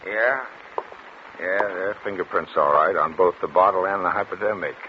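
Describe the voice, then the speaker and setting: An actor playing a businesslike, skeptical police inspector, questioning dryly in a 1940s radio drama. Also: male voice, sits low, moderate pace, close to an everyday voice. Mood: skeptical, probing. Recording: muffled, hissy vintage 1940s radio recording.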